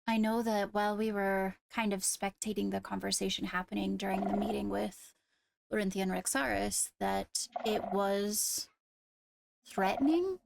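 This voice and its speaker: A woman playing a composed, courteous court leader in an improvised fantasy game roleplay, speaking in a measured conversational way. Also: mid-range voice, vocal fry, noisy background. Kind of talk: improvised Minecraft roleplay in character.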